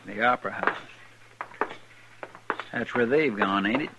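An actor playing an anxious, obedient marshal's sidekick in a 1950s radio western, hesitant and folksy, with a rural American accent. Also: male voice, lowish voice, measured pace, gravelly. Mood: anxious, deferential. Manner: hesitant, deferential. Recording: dull, noisy old radio recording.

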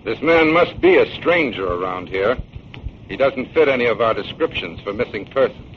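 Actor as gruff police inspector, muffled old radio. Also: male voice, mid-range voice, lively intonation, moderate pace, close to an everyday voice. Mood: businesslike. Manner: curt, stern, dismissive. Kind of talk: 1940s radio drama acting. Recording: muffled, noisy 1940s radio recording.

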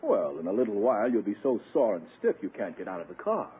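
An actor playing a smug doctor and romantic rival in a 1950s radio sitcom, teasing with false concern. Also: male voice, lowish voice, wildly swooping pitch, fast, slightly rough, full character voice. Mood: mocking. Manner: teasing, mock-solicitous. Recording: tinny 1950s radio recording.

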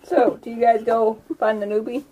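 A woman's voice: on the high side, flat delivery, moderate pace, mellow, a little hiss or hum, rough recording. A woman narrating a tabletop game casually as the game master.

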